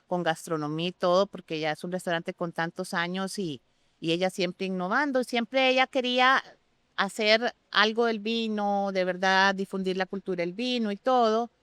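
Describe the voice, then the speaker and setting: An expressive woman speaks as an interview guest. Her voice is smooth and clean, recorded close and dry. She explains things with open enthusiasm, in a conversational way. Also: medium pitch, normal speed, no echo. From Costa Rica. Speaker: guest sommelier.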